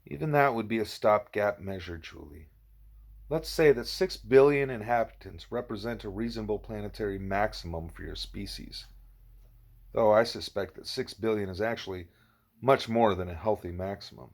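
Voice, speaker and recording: Man voicing a wise, patient gorilla teacher. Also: fairly low pitch. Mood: patient, instructive. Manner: measured, didactic, explanatory.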